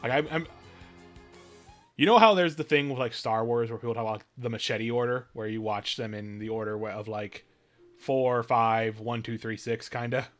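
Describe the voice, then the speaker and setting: A man's voice: mid-range voice, normal speed, fairly bright tone. A man giving casual live stream game commentary, rambling and often rephrasing mid-thought, with game music behind him.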